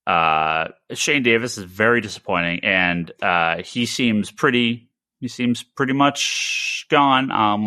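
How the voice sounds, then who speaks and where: Animated, low-voiced American man, bright and emphatic. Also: dry recording, studio quality. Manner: emphatic, stammering with repeated words. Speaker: podcast host; comic shop retailer. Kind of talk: opinionated casual podcast discussion.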